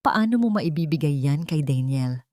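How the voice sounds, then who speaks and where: A woman's voice: low-pitched, animated, moderate pace, darkish tone, dry recording, clean studio sound. A woman playing an anxious elderly mother, pleading. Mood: worried.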